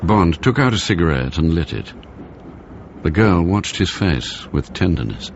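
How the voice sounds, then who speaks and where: An actor playing a measured male narrator of a spy thriller in a radio drama. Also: low voice, sing-song, vocal fry, full character voice. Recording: dull lo-fi recording, faint noise.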